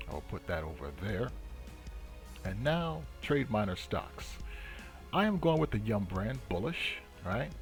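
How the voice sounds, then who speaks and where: A man hosting a trading channel, giving unscripted market commentary in a halting, deliberate way. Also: on the low side.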